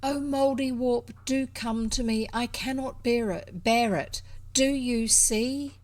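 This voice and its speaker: A woman's voice: a little high, slow, darkish tone, dry recording, recorded from a distance, voice pushed up. A woman voicing an anxious young girl in a storybook, pleading urgently.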